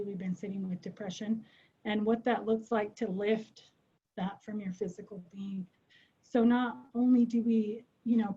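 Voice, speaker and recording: Woman giving a webinar talk, mellow husky voice, lots of ums, earnest, muffled audio. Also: medium pitch. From United States. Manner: earnest, many "um" fillers, thinks aloud. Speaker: behavioral health clinician presenting. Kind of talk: webinar presentation. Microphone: video call.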